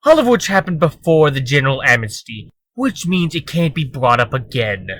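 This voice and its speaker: A man's voice: a little high, very animated, moderate pace, fairly bright tone, no room sound. A man playing a cocky, defensive trickster under questioning, glib and self-justifying, in a comic dub. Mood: cocky, indignant. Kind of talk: comic dub dramatic acting.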